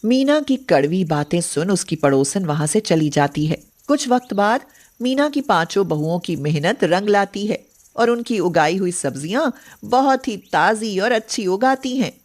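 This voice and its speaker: A woman's voice: medium pitch, lively intonation, normal speed, a little mellow. A woman narrating a scripted story as the storyteller.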